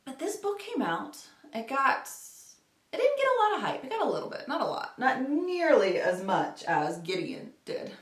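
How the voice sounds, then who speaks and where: A woman's voice: high voice, wildly swooping pitch, moderate pace. A woman talking casually about books to camera in a chatty, rambling way, often correcting herself as she goes. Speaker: book vlogger. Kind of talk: casual book chat to camera. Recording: roomy, echoey home recording.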